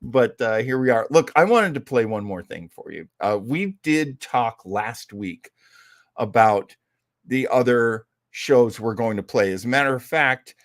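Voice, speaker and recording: A man's voice: medium pitch, animated, measured pace, no room sound, studio quality. A man hosting an old-time radio program, giving casual commentary in a chatty, folksy, opinionated way.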